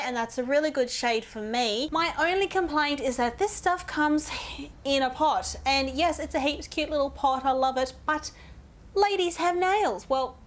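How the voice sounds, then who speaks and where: A woman, talking viewers through her makeup routine while croaky from a cold. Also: high voice. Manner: chatty, ranting, addresses viewers directly. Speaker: beauty vlogger. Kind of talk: casual makeup tutorial talk.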